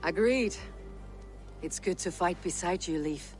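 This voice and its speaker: An actress playing a stoic, loyal female Viking warrior in a video game, speaking in brief, measured, firm phrases. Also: on the low side, darkish tone, faint music behind, natural voice. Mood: calm, friendly. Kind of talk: video game cutscene acting.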